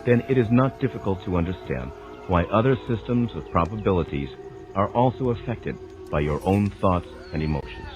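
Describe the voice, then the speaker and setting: A man delivering a dramatized scripted narration of a spiritual text in a measured, formal, lecturing manner. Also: low voice, lively intonation. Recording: dull lo-fi sound with music underneath. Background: background music.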